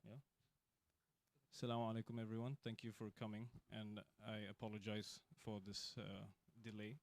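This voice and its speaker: A man organizing and hosting a live event, speaking casually with halting, apologetic phrasing and many fillers. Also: on the low side, monotone, dry recording.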